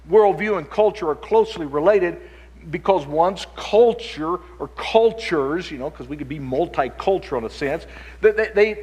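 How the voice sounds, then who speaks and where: A man's voice: a little high, a little mellow, slightly rough. A man who grew up in Chile, giving a lecture-style conference talk and often repeating words as he restarts his sentences. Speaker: conference speaker. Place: conference hall. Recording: long hall reverb.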